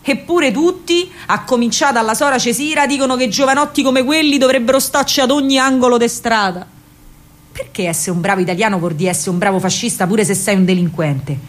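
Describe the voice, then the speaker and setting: An actress playing a spirited, indignant working-class girl with a Roman accent, telling her memories in an expressive, colloquial theatrical monologue. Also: a little high, a little fast, close to an everyday voice. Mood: bitter, dismayed. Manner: colloquial, emphatic, expressive storytelling. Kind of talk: theatrical first-person monologue in dialect.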